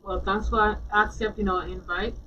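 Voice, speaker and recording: A woman with a Dominican accent hosts in a playful, easygoing manner, her voice sitting at a middle pitch. She sounds far from the mic, and the stream audio is dull and thin. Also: little pitch movement, normal speed, fairly bright tone, slight background noise. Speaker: co-host. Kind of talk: casual talk-show hosting.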